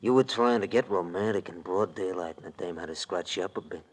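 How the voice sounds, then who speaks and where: A sly, threatening thug from an old American radio crime play. The voice sits low, and the talk is rough, slangy and ungrammatical. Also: male voice, brisk. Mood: taunting. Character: menacing male gangster thug, slangy and sly. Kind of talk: 1940s radio acting. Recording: vintage radio drama recording.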